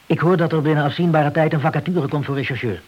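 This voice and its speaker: A man's voice: a little high, fast talker, natural voice. An actor playing an eager, deferential junior policeman in a radio play, respectful and hesitant. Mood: earnest, hesitant. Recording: dull, hissy old radio recording.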